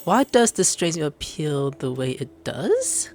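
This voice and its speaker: A woman's voice: sits low, very animated, slow, a little mellow. A woman giving casual, reactive let's-play commentary, thinking aloud as she plays. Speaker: gaming streamer. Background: game music.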